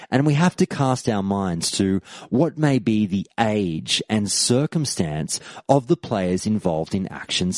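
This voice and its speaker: A man hosts a podcast in a slow, deliberate delivery with a lively, rising and falling pitch. His voice sounds dry and close, with no room around it. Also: no echo. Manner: measured, reads forum posts aloud. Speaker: podcast host. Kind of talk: scripted podcast commentary.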